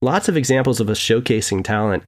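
A man with a fairly low voice talks through his own article on a casual podcast. He is measured and a little rambling, with many small asides. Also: expressive, a little mellow. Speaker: guest writer.

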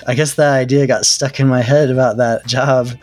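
Man, mid-pitched voice, laid-back storyteller, self-deprecating, faint music underneath. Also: steady tone, normal speed, dry recording. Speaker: startup founder interviewee. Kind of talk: casual storytelling interview.

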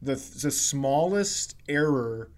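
A man's voice: mid-range voice, lively intonation, slow pace. A man hosting a comedy podcast, telling stories casually in a wry, profane way. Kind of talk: casual comic storytelling.

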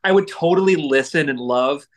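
A man's voice: fairly high pitch, normal speed. A man chatting casually and asking questions as a podcast co-host. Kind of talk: casual interview chat.

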